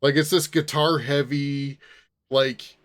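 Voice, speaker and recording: A man in his forties hosting a casual film discussion, thinking aloud in a halting way with repeated starts. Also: on the high side, steady tone. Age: 40s. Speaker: podcast host.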